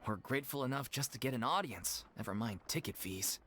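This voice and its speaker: A man's voice: mid-range voice, animated, fairly quick, sharp tone, a little hiss or hum. An actor playing an earnest, apologetic male leader of an amateur theater troupe in a game cutscene. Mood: rueful. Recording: game audio with faint music.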